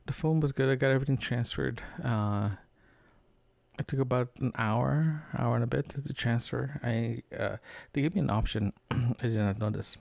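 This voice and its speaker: A man recording a casual, unscripted audio diary as a podcaster, talking in a rambling, halting way with trailing asides. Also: medium pitch, gravelly, a little mellow, no echo.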